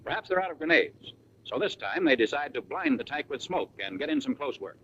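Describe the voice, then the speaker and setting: A man's voice: a little high, sing-song, fairly quick, bright, forceful tone, muffled recording, a little hiss or hum. An American man narrating a 1940s military training film from a script, in a punchy, colloquial style full of wartime slang, on a vintage film soundtrack.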